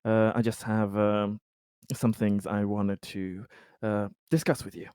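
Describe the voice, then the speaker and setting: A man playing a halting, guilt-ridden colony official. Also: fairly low pitch, level tone, a little mellow, clear, resonant tone, no echo, clean studio sound, close to an everyday voice. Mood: uneasy. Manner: hesitant, halting, many fillers. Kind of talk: in-character roleplay speech.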